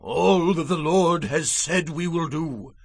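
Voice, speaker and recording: Obedient Israelite man, solemn audio-drama declamation, drawn-out delivery, dry close sound. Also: fairly high pitch, no echo. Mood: solemn pledge. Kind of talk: dramatized scripture acting.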